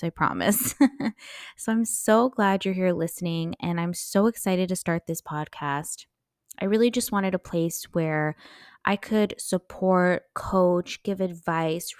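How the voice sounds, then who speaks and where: A young American woman speaks as a podcast host and coach. Her voice sits a little low, and she is chatty and enthusiastic, leaning on words for emphasis. The sound is close and dry, with hardly any room to it. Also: no echo. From United States. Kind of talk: informal solo podcast talk.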